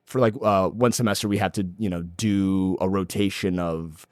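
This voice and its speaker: A man's voice: deep voice, steady tone, normal speed, no echo, clean studio sound. A man in his late twenties, born in El Salvador, chatting as a guest actor in a casual podcast interview, laughing often and saying "like" a lot. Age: late 20s.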